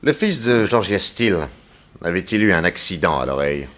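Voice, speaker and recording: An actor playing a shrewd, insistent private detective in a 1960s French radio serial, with a probing, ironic, theatrical manner. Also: male voice, low voice, animated, moderate pace, a bit bright.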